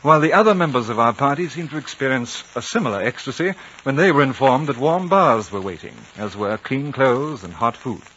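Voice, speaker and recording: An actor playing a refined, thoughtful British gentleman adventurer, measured and polite, in a 1950s radio drama. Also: mid-range voice, animated, natural voice. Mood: reflective, awed. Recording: dull, noisy 1950s radio recording.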